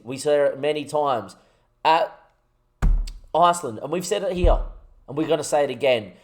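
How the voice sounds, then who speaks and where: Chatty man streaming a game. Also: mid-range voice. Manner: excitable, rallying, chatty with chat. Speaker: gaming streamer. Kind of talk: live Football Manager stream commentary.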